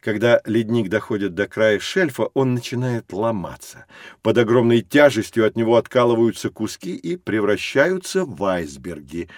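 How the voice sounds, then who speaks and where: An actor playing a patient, learned science teacher who explains things to children in a measured, didactic way for an educational audio drama. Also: male voice, on the low side, dry recording, clean studio sound, close to an everyday voice. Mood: patient, explanatory.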